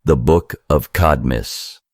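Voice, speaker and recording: A man giving a solemn scripted reading of scripture, with measured, formal phrasing. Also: extremely low pitch, no echo, clean studio sound. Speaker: reader of a scriptural book.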